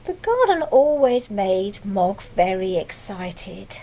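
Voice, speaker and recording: A woman reading a picture book aloud to young children, pausing to explain things simply and ask them questions. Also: medium pitch, big pitch swings, mellow, low-quality recording. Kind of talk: read-aloud picture book storytelling with asides.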